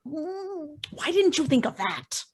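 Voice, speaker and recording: Woman acting a high-voiced, scheming sister. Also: big pitch swings, slow pace, darkish tone, exaggerated voice. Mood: indignant. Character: dramatic, scheming sister feigning shock. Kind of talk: old-time radio mystery acting. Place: live video call at home. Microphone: video call.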